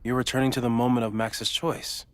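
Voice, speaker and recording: A thoughtful man in a dramatic game played by an actor, recorded dry with a medium-pitched voice. He is earnest and analytical, explaining things gently while plainly worried for whoever he is talking to. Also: level tone, fairly quick, clean tone, dry recording, natural voice. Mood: concerned, thoughtful. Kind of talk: naturalistic dramatic game dialogue.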